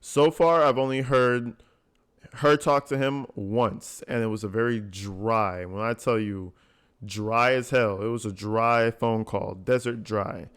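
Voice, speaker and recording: A man's voice: mid-range voice, steady tone, measured pace, fairly bright tone, clear, resonant tone. A man giving casual, blunt reaction commentary, slangy and full of swearing. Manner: blunt, informal, conversational. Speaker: commentator host reacting to a jail call recording.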